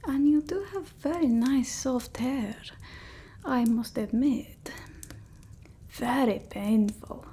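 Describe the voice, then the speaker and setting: Casting: haughty female vampire played by a woman for whispered ASMR roleplay, slow and fussy, mellow tone. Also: high-pitched, exaggerated voice. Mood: fussy, scolding. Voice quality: breathy whisper, soft voiced in places. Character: haughty fussy female vampire mistress instructing her familiar. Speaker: ASMR creator.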